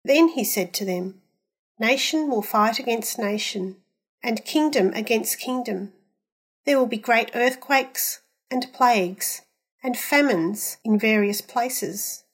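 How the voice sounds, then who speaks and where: A woman reading scripture aloud in a measured, deliberate, solemn way. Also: fairly high pitch, slightly rough. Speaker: scripture reader.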